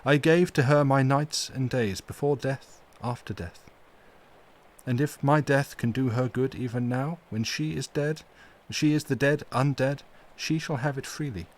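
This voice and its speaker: Man reading as stern old foreign professor, solemn, formal, halting, raised voice. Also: a little high, lively intonation, pitched above the performer's own voice, natural voice. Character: elderly grave foreign male professor, stern yet tender.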